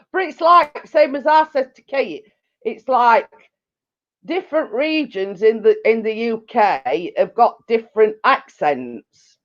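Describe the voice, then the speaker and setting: A woman's voice: fairly high pitch, expressive, slow pace, long pauses. A woman hosting a casual chat with friends, giggling and stumbling over her words. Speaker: host. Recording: dull lo-fi sound.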